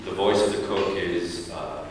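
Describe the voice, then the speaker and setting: A man from New York who performs in the show, reflecting on the work at a panel and pausing often to search for words. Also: sits low, a little hiss or hum. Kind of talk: post-show Q&A panel talk. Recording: echoey hall, picked up from far off.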